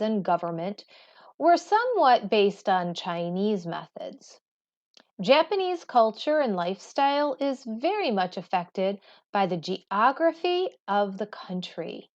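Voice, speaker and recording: A woman reading educational material aloud like a teacher, with deliberate, careful delivery. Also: medium pitch. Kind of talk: read-aloud educational narration. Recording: slightly dull, lo-fi sound.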